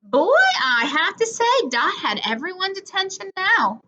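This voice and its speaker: Slow, animated, high-voiced woman reading aloud. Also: a little hiss or hum. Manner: animated, deliberate, acting out dialogue. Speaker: teacher reading a children's book aloud. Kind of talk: expressive children's read-aloud narration. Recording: dull lo-fi recording.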